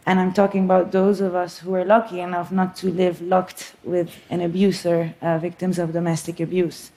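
A woman in her thirties from Lebanon, a filmmaker giving an earnest, reflective talk on stage with soft pauses. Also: lowish voice, level tone.

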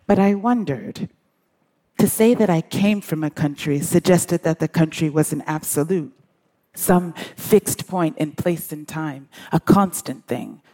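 A woman from the United States delivers a prepared talk on stage. Her voice is low and mellow, and she phrases things deliberately, leaving dramatic pauses for weight. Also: poor audio. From grew up in the United States. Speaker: author, public speaker. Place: on stage at a live conference.

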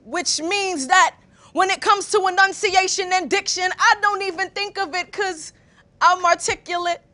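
A young woman performing spoken word poetry with emphatic, rhythmic delivery and deliberate, articulate diction. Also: very high-pitched, sharp tone. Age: young adult.

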